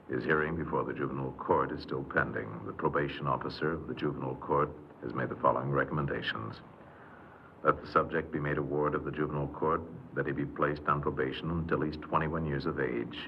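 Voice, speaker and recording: An actor playing an impassive official investigator in a 1950s radio crime drama, formal and matter-of-fact. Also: male voice, rumbling low. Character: stern, impassive official interrogator reading case findings. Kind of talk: 1950s radio docudrama narration. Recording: dull old radio recording, faint noise.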